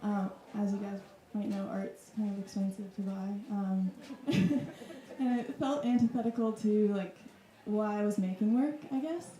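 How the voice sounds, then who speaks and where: Woman from wisconsin, thirties, warm dark voice, reflective, roomy club sound. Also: medium pitch, steady tone, moderate pace. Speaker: artist guest. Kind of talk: casual reflective talk. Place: club room with audience. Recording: echoey room.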